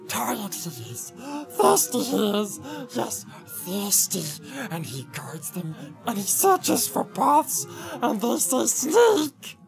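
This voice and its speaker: A man voicing a wretched, wheedling creature in an audiobook, hissing and whispering, then breaking into shrill cries, with music underneath. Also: big pitch swings, slow, creaky, few pauses, heavily acted, rough recording. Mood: whining, sly. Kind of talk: audiobook character reading.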